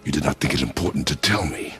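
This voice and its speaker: An actor playing a stern, battle-hardened warrior father in a video game, gruff, growling and terse. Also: very deep voice, fast, a bit bright. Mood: curt, displeased. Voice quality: gravelly, growling. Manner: terse, commanding. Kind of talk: cinematic video game acting. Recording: music behind the voice.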